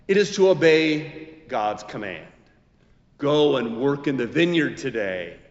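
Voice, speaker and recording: A man preaching a sermon as a pastor, in deliberate, emphatic phrases broken by pauses. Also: medium pitch, in a large hall, lo-fi sound.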